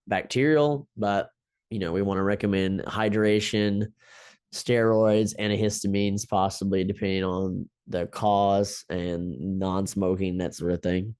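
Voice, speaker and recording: Male instructor, lowish smooth voice, dry close mic, loose explainer with fillers. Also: darkish tone, dry recording. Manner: lots of ums and restarts, explaining step by step. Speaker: teacher. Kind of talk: unscripted lecture.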